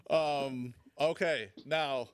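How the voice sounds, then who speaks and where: A man's voice: medium pitch, steady tone, drawn-out words. A man hosting a casual discussion, moderating in a hesitant, rambling, unscripted way. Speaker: podcast host.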